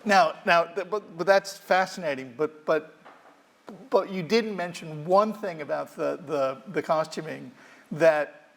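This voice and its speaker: Higher-pitched guy with a gravelly voice, chatty moderator, keeps repeating words. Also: a little slow. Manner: talkative, repeats words, leads questions. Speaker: curator and moderator. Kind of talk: onstage interview. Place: auditorium.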